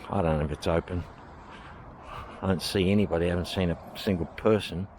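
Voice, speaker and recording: A man's voice: deep voice, steady tone, fairly quick, darkish tone, slight background noise. An Australian man chatting casually to camera while walking around a historic village, thinking aloud as he goes. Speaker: travel vlogger. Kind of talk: casual walking tour commentary.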